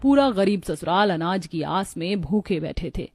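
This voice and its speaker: A woman's voice: fairly low pitch, animated, normal speed, darkish tone. A woman giving scripted, dramatic storytelling narration for a moral tale.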